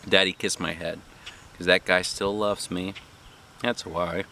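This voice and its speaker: A man reading a children's book aloud as a dad, with expressive, animated narration and put-on character voices. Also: low-pitched, unhurried, gravelly, a little hiss or hum.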